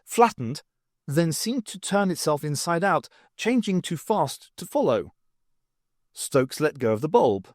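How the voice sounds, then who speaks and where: A man reading a novel aloud as an expressive audiobook narrator, in an animated storytelling manner. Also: on the high side, no echo, studio quality. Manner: animated storytelling with acted dialogue.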